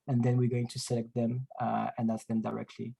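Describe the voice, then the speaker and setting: A man from France with a French accent, hosting an online webinar in a halting way, full of fillers and restarts, heard over a video call. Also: mid-range voice, completely flat delivery, gravelly, dark tone, husky, low-quality recording. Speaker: webinar host.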